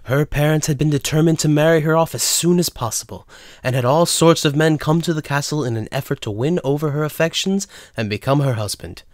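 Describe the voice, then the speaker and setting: A man's voice: on the high side, fairly quick, dry recording, studio quality. A man narrating a scripted audio drama as its storyteller.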